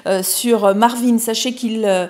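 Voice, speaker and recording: Higher-voiced woman hosting a TV interview. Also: measured pace. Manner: encouraging, with steady backchannel murmurs. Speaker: TV host, interviewer.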